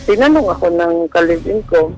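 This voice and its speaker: A woman's voice: fairly low pitch, animated, brisk, a little mellow, soft background music. A Filipino woman calling in to a radio show, confiding casually in a polite, hesitant manner. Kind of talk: casual personal confession.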